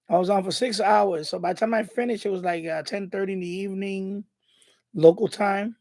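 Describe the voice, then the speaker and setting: High-voiced New man, chatting casually. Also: steady tone, normal speed, vocal fry, long pauses, a little mellow, no room sound, studio quality. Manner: rambling, repeats himself. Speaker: host of a radio hobby live stream. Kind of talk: casual live stream chat.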